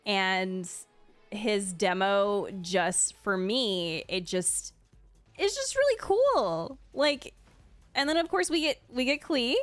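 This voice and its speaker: A woman's voice: mid-range voice, very animated, takes it slowly, a bit bright, no echo, faint music behind. A woman hosting in a casual, giggly way, giving enthusiastic reaction commentary as she goes through a ranking. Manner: gushing, enthusiastic. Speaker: video host and streamer.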